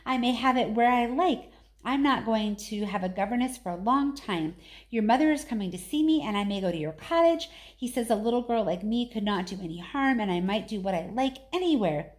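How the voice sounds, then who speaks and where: Storybook child read by an adult woman: small earnest girl, excitable and plaintive, slightly high voice. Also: brisk, lightly acted. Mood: excited. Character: eager, faltering little English girl, Mary.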